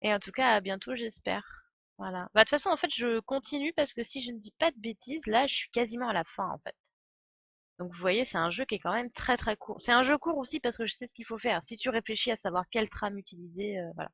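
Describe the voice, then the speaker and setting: A woman's voice: a little high, quick pace, gravelly, fairly bright tone, breathy edge, no echo, muffled recording. A woman doing casual live video game commentary, playful and joking, with slangy talk. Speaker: game streamer. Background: faint video game music and dialogue.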